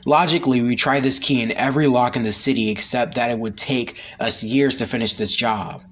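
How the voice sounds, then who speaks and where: A man's voice: medium pitch, steady tone, fairly quick, natural voice. A man voicing a logical android superhero from a comic, speaking in formal, precise phrases. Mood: earnest, logical. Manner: stiffly formal, precise wording.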